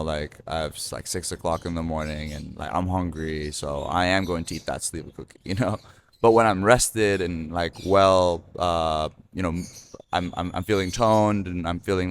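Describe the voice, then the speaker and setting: A man's voice: low voice, a little hiss or hum. A man in his thirties hosting a podcast, chatting loosely with lots of fillers and restarts. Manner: rambling, many fillers and restarts. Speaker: podcast host. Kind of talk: casual conversation.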